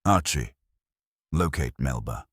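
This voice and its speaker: A man's voice: rumbling low, expressive, slow pace, a bit bright, breathy edge, no room sound, studio quality, heavily acted. A man voicing a stout, commanding detective who gives short, clipped orders. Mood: terse.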